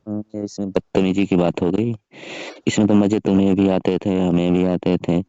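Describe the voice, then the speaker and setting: A man acting as a smug young rich employer in a comic phone skit. His voice is low and mellow, and he talks in a pushy, teasing way. The call sounds muffled and lo-fi. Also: flat delivery, normal speed, clean tone, dry recording, lightly acted.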